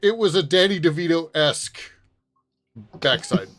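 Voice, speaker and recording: A man's voice: fairly high pitch, measured pace, a bit bright. A man bantering casually as a podcast host, with a wry, joking way of talking.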